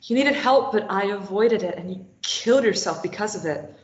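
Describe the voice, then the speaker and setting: Woman reading a bitter, self-blaming grieving woman. Mid-pitched. Dull, echoey table-read recording. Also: expressive, moderate pace, lightly acted. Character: guilt-ridden young woman, former addict, grieving. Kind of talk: table read of a stage play. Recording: dull echoey room sound.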